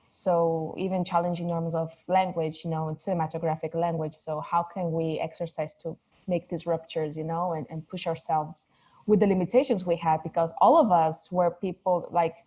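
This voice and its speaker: Thoughtful woman in her 30s from Mexico, second-language speaker, low warm voice, halting restarts, video call interview. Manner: thoughtful, searching for words, many restarts. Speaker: filmmaker guest. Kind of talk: casual interview conversation.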